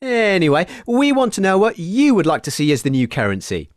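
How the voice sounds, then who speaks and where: A man's voice: high-pitched, wildly swooping pitch, fairly quick, dry recording, clean studio sound. A man co-hosting a comedy radio show in a mock-formal, bemused presenter manner.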